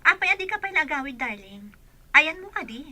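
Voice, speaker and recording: A woman's voice: high-pitched, very animated, a little fast, sharp tone, no room sound, faint music behind, exaggerated voice. A woman playing a suspicious, nagging wife in an Ilocano radio comedy drama, with an Ilocano accent.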